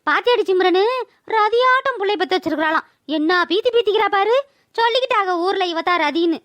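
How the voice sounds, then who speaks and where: A woman's voice: extremely high pitch, lively intonation, fast talker, fairly bright tone, lo-fi sound. A woman voicing a sarcastic, nosy old mother-in-law in a village cartoon, gossipy and mocking, with a rural Tamil Nadu accent. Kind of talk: cartoon village drama voice-over.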